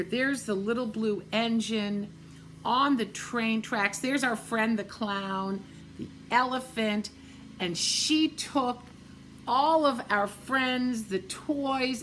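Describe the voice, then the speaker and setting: A woman reading a children's picture book aloud, gently pointing out the pictures. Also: on the high side, a little hiss or hum, poor audio. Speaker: storybook reader.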